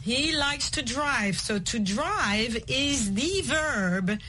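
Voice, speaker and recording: Female English-lesson host from Kenya, fairly high voice, slow and deliberate, faint room ambience behind. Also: expressive, pushed and bright, slight background noise. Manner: slow, clear, repeats the guest's words.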